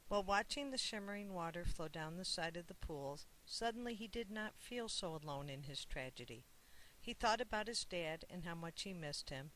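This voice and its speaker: Children's book narrator, author's own read: bright tone, scripted storytelling, light hiss. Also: medium pitch, expressive, moderate pace, rough recording.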